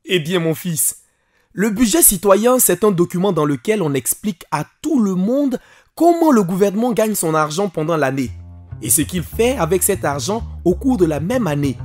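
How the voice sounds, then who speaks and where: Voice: mid-range voice, sing-song, moderate pace, a little mellow, soft background music. A performer playing a friendly, patient guide who explains things in simple everyday words in an educational cartoon. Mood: patient, explanatory. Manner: didactic, simple everyday wording. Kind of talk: animated educational film, explanatory dialogue.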